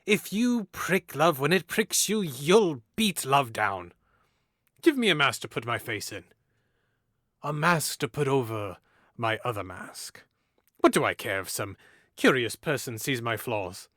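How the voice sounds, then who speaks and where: An actor playing a witty, mocking young nobleman in an audio drama, playful and theatrical, with hushed and loud moments. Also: mid-range voice, animated, a little slow, no room sound, clean studio sound.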